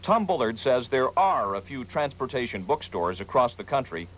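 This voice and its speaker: American TV reporter with lively, swooping delivery. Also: male voice, medium pitch, moderate pace, rough recording. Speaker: TV news reporter. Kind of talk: scripted feature narration. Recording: faint background noise, 1980s TV broadcast.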